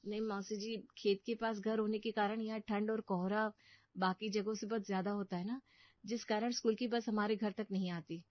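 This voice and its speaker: A woman's voice: medium pitch, fairly even intonation, moderate pace, dry recording, lightly acted. A woman voicing a dutiful young daughter-in-law in a Hindi cartoon, speaking respectfully as she explains things. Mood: explaining. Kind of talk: Hindi cartoon family story dubbing. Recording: dull, muffled studio voice track.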